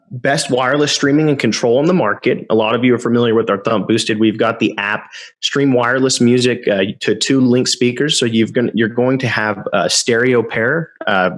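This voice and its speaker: A man explaining loudspeaker features in an enthusiastic sales-pitch manner, sometimes stumbling over a word. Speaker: product specialist. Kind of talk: product demo explanation.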